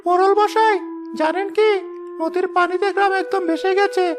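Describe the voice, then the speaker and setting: A man voicing a sly, resentful henchman of a village headman in a cartoon, in a squeaky put-on voice. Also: fairly even intonation, moderate pace, music in the background. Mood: alarmed, bossy. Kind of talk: animated moral story voice acting.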